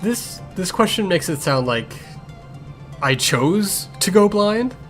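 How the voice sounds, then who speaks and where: A man's voice: high voice, sing-song, slow, exaggerated voice. A man voicing a grumpy, sarcastic blind young cat healer in a fan Q&A, with a curt, irritable and defensive manner. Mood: indignant. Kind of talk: improvised in-character fan Q&A. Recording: music behind the voice.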